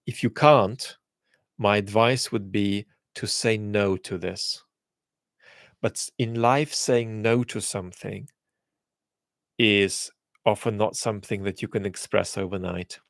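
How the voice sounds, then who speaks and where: Low-voiced man, thoughtful and measured. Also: fairly even intonation, no echo. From England. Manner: thoughtful, measured, frequent fillers and restarts. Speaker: host answering viewer questions. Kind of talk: casual chat.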